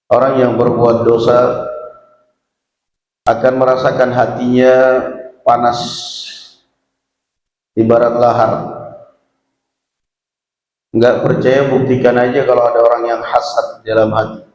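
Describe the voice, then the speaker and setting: A man with an Indonesian accent giving a religious lecture, reciting and explaining in measured, deliberate phrases with pauses. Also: mid-range voice, flat delivery, darkish tone, dull audio. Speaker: Islamic preacher and teacher. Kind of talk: religious lecture, reading Arabic text aloud then explaining it. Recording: very echoey hall with long reverb.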